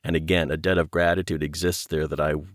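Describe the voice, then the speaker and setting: A man's voice: rumbling low, flat delivery, fast, no echo, clean studio sound. A man speaking as an interview guest and audio producer, conversational, earnest and reflective in manner.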